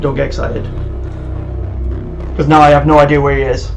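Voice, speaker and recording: A man giving live commentary on a horror game, muttering nervously to himself and breaking into excited bursts. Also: a little high, normal speed, faint music behind. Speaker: let's play host.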